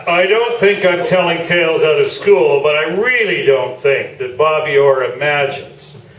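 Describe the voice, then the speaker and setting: A man's voice: on the high side, unhurried, fairly bright tone. A man playing a pompous, self-assured old-school sports columnist in a comic radio play performed live. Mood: proudly boastful. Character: pompous, partisan old-school male sports columnist and hall of fame chairman. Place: small theatre, live performance. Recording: roomy theatre stage, distant mic.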